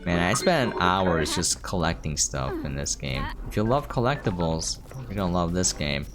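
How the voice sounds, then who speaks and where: A man's voice: low voice, animated, normal speed. A man giving casual gameplay commentary, thinking aloud and trailing off, with game music behind him. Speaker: game streamer. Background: video game music.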